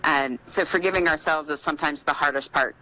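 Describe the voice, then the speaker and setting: A woman's voice: sits low, a little fast, sharp tone, low-quality recording. A woman being interviewed as an author, talking casually and reflectively, in an earnest, explanatory way.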